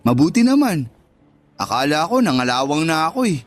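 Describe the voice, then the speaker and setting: An actor playing a persistent, romantic suitor in a Tagalog radio drama, smooth-talking and earnest. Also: male voice, a little high, sing-song, brisk. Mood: earnest wooing.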